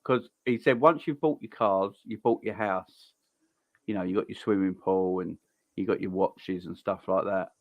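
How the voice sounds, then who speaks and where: A young man co-hosting a football watch-along, chatty and quick to laugh while telling stories. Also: low voice, no echo, narrow, tinny audio. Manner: chatty storyteller, laughs easily. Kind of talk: casual banter.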